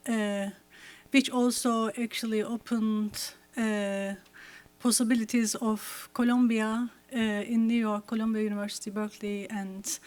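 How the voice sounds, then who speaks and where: Slow, halting woman. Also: mid-range voice, darkish tone. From Turkey. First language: Turkish. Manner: halting, searching for words. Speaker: guest artist. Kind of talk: thanking the audience on stage. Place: cinema theater at a screening.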